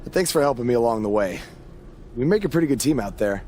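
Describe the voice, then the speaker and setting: A man's voice: mid-range voice, lively intonation, fairly quick, darkish tone, slight background noise, lightly acted. A man playing a playful, easygoing champion wrestler in a video game cutscene. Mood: playful, grateful.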